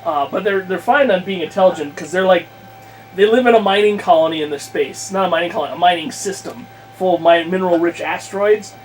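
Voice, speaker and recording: A man with a notably high-pitched voice talks off the cuff in a casual ramble. He is halting and corrects himself as he thinks aloud, with a crude streak. The recording has clear background noise. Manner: crude, freely swearing. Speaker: podcast guest host, game master. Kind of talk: casual rambling chat about a tabletop game plan. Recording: clearly noisy room recording.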